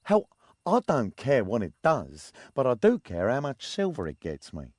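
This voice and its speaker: Shifty crook, greedy, cajoling, extremely lively pitch, close dry sound, game cutscene. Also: male voice, a little slow, no room sound. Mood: scheming, offhand. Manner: offhand, cajoling. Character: shifty, greedy male crook, fast-talking schemer. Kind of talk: video game cutscene acting.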